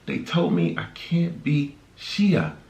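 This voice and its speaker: A man's voice: a little high, huge pitch swings, takes it slowly, darkish tone. A man hosting a reaction vlog, talking casually in a hype, slangy, exclamatory way with an echoey, roomy sound. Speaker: reaction video host.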